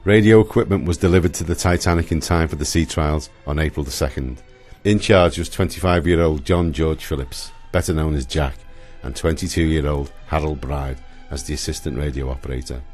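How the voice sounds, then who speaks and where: A man's voice: a bass voice, brisk, few pauses, darkish tone, faint music behind. A man reading scripted historical narration for a radio play.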